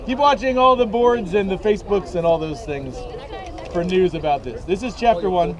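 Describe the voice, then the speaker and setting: A man reading his own comic novel aloud with a dry, deadpan delivery, outdoors at an event. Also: high voice, normal speed, crowd noise. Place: outdoors at a medieval combat event.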